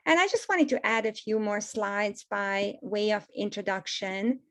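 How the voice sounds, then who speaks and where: A woman's voice: mid-range voice, lively intonation, unhurried, a bit bright. Hungarian-accented woman presenting a webinar. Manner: gracious, emphatic repetitions. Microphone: video call.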